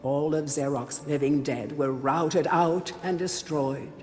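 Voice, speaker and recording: An actor playing a grave chronicler of an old fantasy kingdom, narrating a game's storybook history in a solemn, theatrical way. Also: male voice, a little high, steady tone, takes it slowly, a little mellow. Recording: long hall reverb, music underneath. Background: music.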